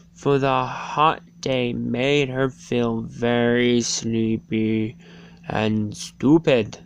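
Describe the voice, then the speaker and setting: An amateur reader delivers a dramatic storybook reading at a very slow pace, in a medium-pitched voice. The delivery is halting, with stumbles over words. Faint noise and hum sit behind it.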